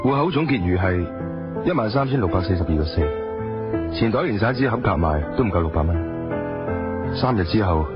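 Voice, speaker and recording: A male actor as a very low-voiced young cab driver in a radio play, beaten down and resigned, with a Hong Kong Cantonese accent. He speaks with understated weariness. The audio is dull and lo-fi, with prominent music. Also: lively intonation, brisk, pauses often, natural voice. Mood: despairing. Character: downcast young male taxi driver crushed by debt. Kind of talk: radio drama acting.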